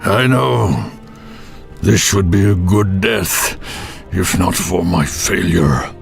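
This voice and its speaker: An actor playing a proud old orc warrior in a fantasy game, with a rough, gravelly, labored voice. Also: male voice, low voice, slow pace, faint music behind, full character voice. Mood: pained, ashamed. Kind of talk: dramatic fantasy game acting.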